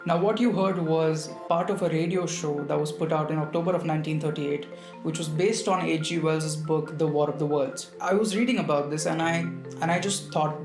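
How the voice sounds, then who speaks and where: A man hosting a video essay, narrating in a conversational storytelling way and addressing the viewer directly. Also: a little high. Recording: roomy voice with background music.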